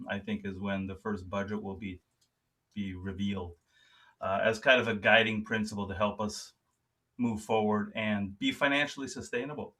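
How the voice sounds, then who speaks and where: A man's voice: medium pitch, sharp tone, recorded from a distance. A man who is a native German speaker, informally chairing a meeting and introducing himself.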